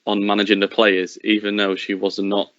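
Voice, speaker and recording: A man's voice: on the low side, monotone, moderate pace, fairly bright tone, dry recording. A young man hosting an interview, asking questions and encouraging the guest. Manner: encouraging, frequent backchannel agreement. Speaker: podcast host. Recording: dry lo-fi recording.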